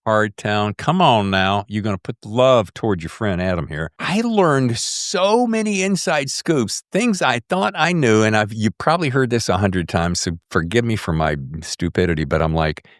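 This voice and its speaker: A man's voice: lowish voice, animated, moderate pace, few pauses, no echo, studio quality. A man hosting a podcast, chatting casually in a chummy, effusive, emphatic way with plenty of slang. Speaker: podcast host. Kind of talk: casual interview chat, addressing guest and listeners.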